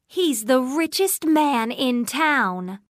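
A woman's voice: high voice, expressive, slow pace, no room sound, studio quality, voice pushed up. A woman voicing an astonished, gossipy young woman in a storybook, speaking with emphatic wonder. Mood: amazed, gossipy. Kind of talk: storybook character dialogue.

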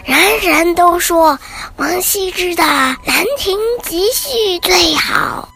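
A woman's voice: very high-pitched, slow pace, sharp tone, voice pushed up, heavily acted. Woman acting a boastful, secretive old monk, half whispering. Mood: smug, secretive. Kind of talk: storybook character voice.

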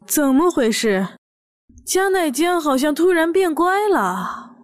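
A woman acting a sharp-tongued, nosy, know-it-all mother in an audio drama. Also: high voice, sing-song, takes it slowly, heavily acted. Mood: needling.

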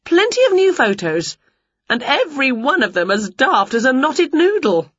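A woman voicing an excitable, daft storybook witch who grumbles and exclaims in colloquial turns of phrase. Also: high-pitched, moderate pace, no room sound, dull audio, pitched above the performer's own voice, exaggerated voice. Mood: gleeful. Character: excitable scatty witch with skinny legs, daft and cheeky.

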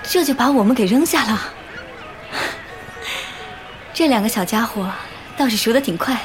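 An actress playing a gentle, earnest grown woman in a modern audio drama, speaking softly and tenderly. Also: on the high side, lively intonation, fast, natural voice. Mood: playful. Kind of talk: modern Chinese radio drama acting. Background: music.

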